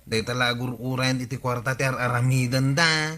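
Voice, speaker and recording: An actor with an Ilocano accent playing a grumbling, money-minded tricycle driver given to gossip, in a radio comedy drama. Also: male voice, mid-range voice, fairly even intonation, normal speed, pushed and bright, natural voice. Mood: gossipy.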